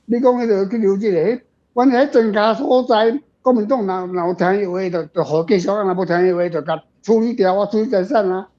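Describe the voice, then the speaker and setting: Bright-toned but muffled Taiwanese speaker, rambling along. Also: medium pitch, brisk. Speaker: guest author answering readers' questions. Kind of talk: casual storytelling discussion.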